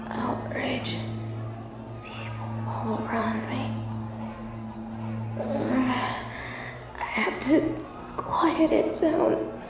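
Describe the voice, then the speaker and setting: An actress playing a dazed, tormented fallen angel in an audio drama, murmuring in halting, breathy fragments. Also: female voice, a little high. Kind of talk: dramatic audio roleplay acting. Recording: roomy echo under loud music.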